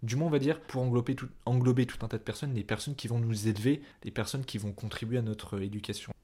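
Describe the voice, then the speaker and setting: Young adult male podcast host, medium pitch, conversational and informal, digressive delivery that often breaks off mid-thought. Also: steady tone, quick pace, warm tone. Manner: informal, chatty, digressive, self-interrupting. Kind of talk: casual solo podcast monologue.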